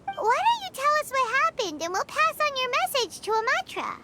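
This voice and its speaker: A woman voicing a small, chatty, childlike floating fairy companion in a video game, squeaky and exclamatory. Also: big pitch swings, moderate pace, loud music behind the voice. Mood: concerned. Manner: refers to self in third person, exclamatory. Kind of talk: video game character dialogue.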